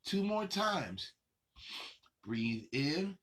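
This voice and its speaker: A man hosting a children's session, guiding movement with encouraging, drawn-out instructions. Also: fairly high pitch, very animated, fairly bright tone. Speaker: children's show host leading warm-up exercises.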